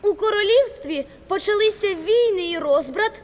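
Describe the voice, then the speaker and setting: A woman voicing an earnest storyteller in an animated fairy-tale film dub, solemn in delivery. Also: extremely high pitch, normal speed. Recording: long reverberant hall sound.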